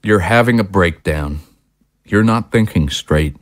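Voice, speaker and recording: A woman's voice: very deep voice, a little slow, dry recording, studio quality. A woman playing an angry, possessive husband in an audio drama, indignant and confrontational. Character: angry, possessive husband and father in a marital fight. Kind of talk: screenplay-style audio drama acting.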